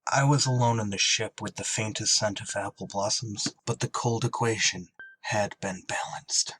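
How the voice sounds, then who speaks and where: An actor playing a somber, haunted shuttle pilot in an audio drama, grave and restrained. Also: male voice, lowish voice, fairly even intonation, normal speed, a bit bright, slight background noise, close to an everyday voice, rough recording. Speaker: lead actor and narrator.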